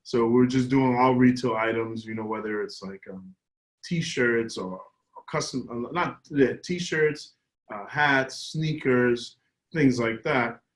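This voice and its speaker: A young man, a business owner telling his story casually, animated with quick restarts. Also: mid-range voice. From Somerville, Massachusetts, US. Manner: animated, laughing, stumbling restarts. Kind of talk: casual interview answers.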